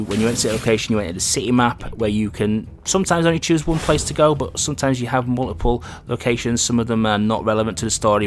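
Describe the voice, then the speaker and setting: A man's voice: fairly low pitch, slight background noise. A man hosting a casual video game review, talking in a conversational, loosely rambling way. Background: music.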